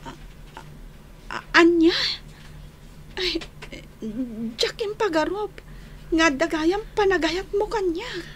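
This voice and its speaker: A woman's voice: very high-pitched, takes it slowly, pauses often, slight background noise. A woman playing a sweet, shy, lovestruck young student in a radio drama, with a Filipino accent. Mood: shy, surprised.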